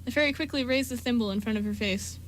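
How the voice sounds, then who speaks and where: A woman's voice: a little high, fast, a little hiss or hum. A woman reading scripted story narration for a radio play.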